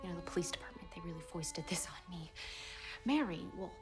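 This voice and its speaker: A woman's voice: on the low side, brisk, a little mellow, soft background music, natural voice. An actress playing an earnest, prim young 1920s policewoman who speaks formally. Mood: bashful. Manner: earnest, flustered, formal wording.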